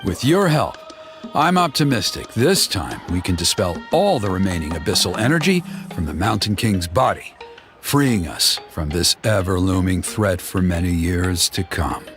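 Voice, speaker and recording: A slick flattering village elder, voice swoops up and down, game music behind. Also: male voice, low voice, moderate pace. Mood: smooth. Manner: suave, flattering, persuasive. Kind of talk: game voice acting.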